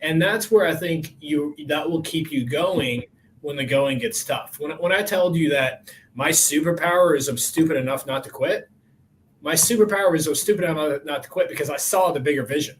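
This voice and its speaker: A man's voice: a little high, normal speed, slight background noise, poor audio. Emphatic motivational man in his forties. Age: 40s. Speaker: podcast host. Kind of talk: coaching podcast conversation.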